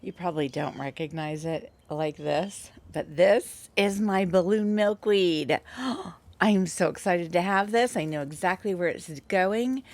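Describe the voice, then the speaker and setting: A woman showing her garden on her vlog, gushing and excited. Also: on the low side, unhurried. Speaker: gardening channel host. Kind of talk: casual vlog narration.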